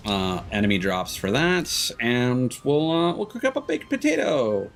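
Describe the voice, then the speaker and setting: A man's voice: mid-range voice, big pitch swings, measured pace, a little hiss or hum. A man livestreaming a video game and chatting casually with viewers, hesitant and rambling, often trailing off. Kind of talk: casual chat with stream viewers.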